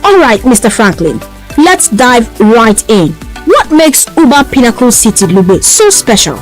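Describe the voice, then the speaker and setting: A woman's voice: on the high side, very animated, unhurried, faint music behind. A woman as an upbeat, eagerly impressed interviewer in a scripted radio advert. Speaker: advert presenter.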